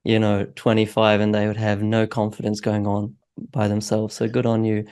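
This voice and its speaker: Male host, fairly low voice, friendly and a bit hesitant. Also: completely flat delivery, moderate pace, a little mellow, clean tone. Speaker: podcast host. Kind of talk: casual interview chat.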